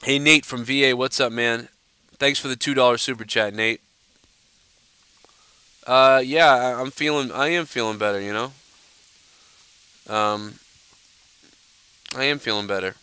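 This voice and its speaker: A young man hosting a live stream and answering viewer questions in a loose, profane, blunt way, his nose a bit stuffed up so he sounds slightly nasal. His voice is bright and clean, and the recording is dry, with no room echo. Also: medium pitch, measured pace, long pauses, clear, resonant tone. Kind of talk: casual live-stream chat.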